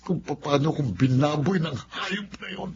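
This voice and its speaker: A wounded, high-voiced Filipino husband. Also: male voice, unhurried, a little hiss or hum. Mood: anguished. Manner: emotional, earnest. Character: wounded husband and father, haunted by past abuse. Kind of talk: Filipino radio drama acting. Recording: muffled lo-fi audio with music behind.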